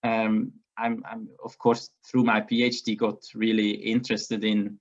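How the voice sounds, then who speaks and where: A man delivers a conference keynote in a flat, level voice that barely rises or falls. He speaks haltingly, restarting phrases and repeating words as he goes. The audio sounds slightly muffled and dull. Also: on the low side. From Switzerland. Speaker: engineering researcher giving a keynote.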